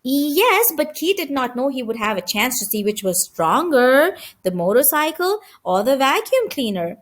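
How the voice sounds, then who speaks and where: Female storyteller for a children's audiobook: high voice, very lively intonation, dramatic emphasis. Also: normal speed. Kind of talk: expressive children's story reading.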